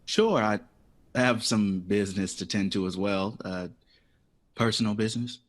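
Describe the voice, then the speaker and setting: A man's voice: on the low side, normal speed, a little hiss or hum, lightly acted. A man playing a proud, urbane, wounded jazz composer in a period audio drama, speaking in terse, pointed, wry lines. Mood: wry, guarded. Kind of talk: period radio drama acting.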